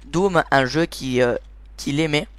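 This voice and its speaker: Higher-voiced man, hesitant gaming commentary. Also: measured pace, smooth, dry recording. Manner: hesitant, often searching for words. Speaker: host of a gaming video series. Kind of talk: informal explainer commentary over gameplay.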